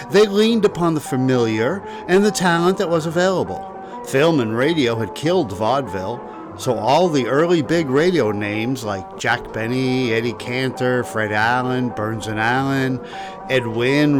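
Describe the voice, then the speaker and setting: A man hosting and narrating in a scripted documentary style. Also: mid-range voice. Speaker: show host. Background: music under the voice.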